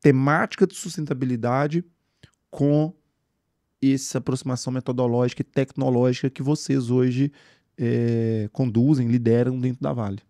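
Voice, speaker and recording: A man hosts a podcast interview, building long questions with restarts. His voice has a middle pitch. The sound is dry and close. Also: fairly even intonation, normal speed, dark tone, no echo. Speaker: podcast host.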